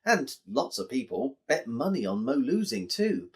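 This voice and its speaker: An English man tells a story for children. His pitch moves around in a very lively way, and he explains things directly to his listeners with plenty of expression. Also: measured pace, slightly rough.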